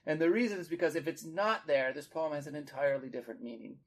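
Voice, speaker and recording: Man, slightly high voice, podcast host, teacherly, articulate, stresses key words, explanatory literary talk. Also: quick pace.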